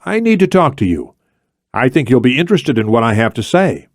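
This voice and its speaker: A man's voice: medium pitch, big pitch swings, fairly quick, no echo, studio quality. A man voicing a cool, decisive male operative in an audiobook thriller, casual and matter-of-fact. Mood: casual, probing.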